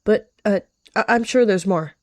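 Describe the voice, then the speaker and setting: A roleplayer voicing a guarded, scheming rogue cat who feigns friendliness, in measured speech. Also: mid-range voice, no room sound, studio quality, voice pushed up, lightly acted. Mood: casual, hesitant. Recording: music behind the voice.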